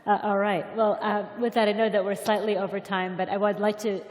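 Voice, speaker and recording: A woman's voice: medium pitch, level tone, fairly quick. A woman moderating a conference panel in a conversational, somewhat hesitant way. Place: conference hall stage. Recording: reverberant hall, faint audience noise.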